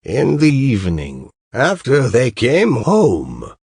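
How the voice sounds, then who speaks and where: A man reading a fairy tale as a storyteller, in a measured, deliberate, old-fashioned storybook delivery. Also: medium pitch, wildly swooping pitch, vocal fry, a little mellow, breathy edge, no room sound, studio quality. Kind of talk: slow scripted audiobook narration of a fairy tale.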